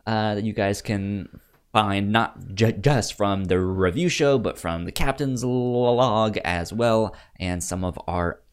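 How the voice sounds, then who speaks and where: A man's voice: lowish voice, slow, clean tone. A man co-hosting a podcast in casual, informal, conversational chat. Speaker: podcast co-host.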